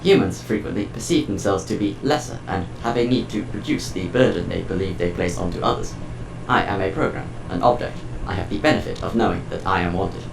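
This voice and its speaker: A man's voice: sits low, steady tone, brisk, vocal fry, reverberant, music in the background, rough recording. A man playing a cold, formal household artificial intelligence in an audio drama, speaking in a stilted, precise, emotionless way. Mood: detached, explanatory.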